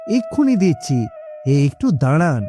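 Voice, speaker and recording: A man's voice: fairly high pitch, very animated, moderate pace, music in the background. A man voicing a grinning, fawning village grocer in a cartoon, giggly and ingratiating. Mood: fawning, sly. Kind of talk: cartoon voice acting.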